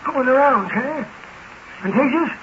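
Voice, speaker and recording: Crabby sick old miser farmer, real high fretful voice, country american accent, hissy old radio play. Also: male voice, lively intonation, measured pace. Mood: irritable, fretful. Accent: rural American. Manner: querulous, agitated, demanding. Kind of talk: 1940s radio drama acting. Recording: muffled, hissy 1940s radio recording.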